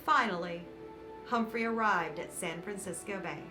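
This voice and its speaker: A woman reading a picture book aloud to children as host, with dramatic emphasis and warm, encouraging asides. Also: medium pitch, moderate pace. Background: background music.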